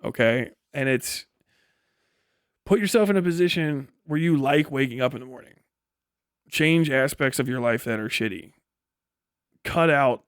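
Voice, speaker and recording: A man's voice: mid-range voice, no room sound. Blunt, sweary man livestreaming, dry close sound. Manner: blunt, heavily profane. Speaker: livestream host and commentator. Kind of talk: casual livestream chat answering viewer messages.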